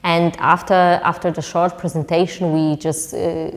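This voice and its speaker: A woman's voice: lowish voice, little pitch movement, measured pace, long reverb tail. A woman from Poland, Polish native, answering interview questions with frequent restarts and fillers. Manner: hesitant, repeating words, many fillers. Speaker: entrepreneur guest.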